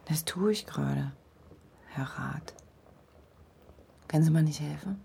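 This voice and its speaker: Middle-aged widowed landlady, forthright, low creaky voice, intimate radio drama delivery. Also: a little slow, warm tone, slight background noise, full character voice. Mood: confiding. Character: forthright widowed landlady, fond of rum tea. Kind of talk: intimate radio play acting.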